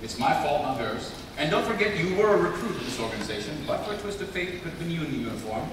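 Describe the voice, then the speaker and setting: An actor playing an earnest secret agency leader in a formal, emphatic live script reading. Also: male voice, on the high side, animated, quick pace, close to an everyday voice. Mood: earnest, authoritative. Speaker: actor at a live table read. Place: large convention panel hall. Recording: echoey hall, distant mic, noisy.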